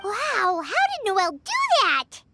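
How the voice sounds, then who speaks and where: Squeaky-high little girl. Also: very animated, unhurried, over music, full character voice. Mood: amazed. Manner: childlike, puzzling aloud. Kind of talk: scripted game dialogue.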